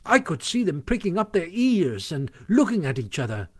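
A man voicing an excitable, boastful little mole in a storybook, telling his tale with eager glee. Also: sits high, normal speed, slightly rough, pitched above the performer's own voice, exaggerated voice. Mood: boastful, excited. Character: chirpy, boastful, excitable small male mole.

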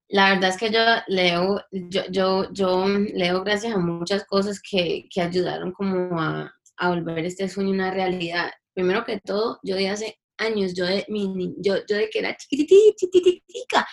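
A woman's voice: mid-range voice, moderate pace, creaky. A young woman from Canada, a singer-songwriter guest, speaking in a reflective, chatty way and slipping in English words. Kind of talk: casual interview talk.

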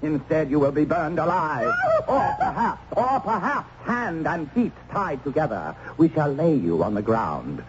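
A man's voice: a little high, huge pitch swings, a little slow. Cruel, swooping nobleman in old radio drama. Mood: menacing, gloating. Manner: imperious, theatrical, threatening. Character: cruel, menacing nobleman persecuting an accused witch. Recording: dull, noisy old radio recording.

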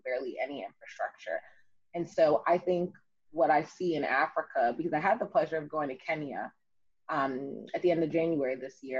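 Low husky woman, animated guest. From United States. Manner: lively, emphatic storyteller. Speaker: guest, city councilwoman. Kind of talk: conversational interview. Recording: muffled lo-fi audio.

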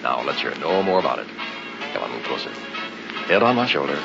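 An actor playing a confident young newlywed husband in a 1960s radio drama, teasing and brushing off worries. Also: male voice, a bass voice, sing-song, fairly quick, vocal fry, heavily acted. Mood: breezy, reassuring. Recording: dull vintage 1960s radio recording, music.

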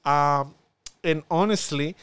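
Man, Spanish accent, earnest and reflective, slightly high voice, smooth tone, dry close sound. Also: takes it slowly, a bit bright, no echo. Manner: earnest, many fillers and restarts. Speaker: guest. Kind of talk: reflective conversational interview.

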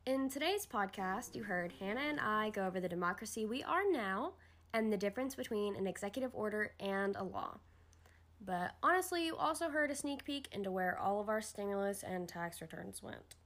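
A woman's voice: mid-range voice, a bit bright. A woman hosting an educational podcast in a scripted, instructive explainer style. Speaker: podcast host.

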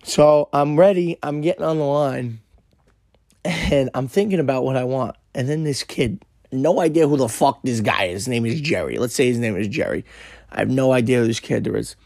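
A young man, hosting a podcast and telling a story in a brash, profane, animated way, his voice hoarse and worn out. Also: medium pitch, moderate pace, dry recording, studio quality. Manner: brash, swearing, animated retelling. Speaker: podcast host. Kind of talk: casual profane storytelling monologue.

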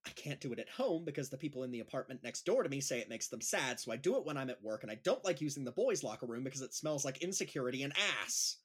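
Awkward high school gym teacher played by a man, audio drama, raspy edge, very animated intonation, flustered and hesitant. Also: fast talker, few pauses. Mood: flustered, embarrassed. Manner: flustered, hesitant, over-explaining. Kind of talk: naturalistic audio drama acting.

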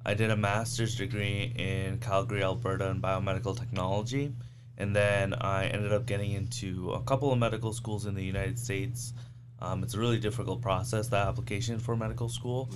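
A man in his thirties, originally from Bangladesh, talking as a guest on a podcast in a relaxed, slangy way with lots of "like" and "um". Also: on the low side, fairly quick, noisy background. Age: 30s. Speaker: guest, physician. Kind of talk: casual podcast conversation.

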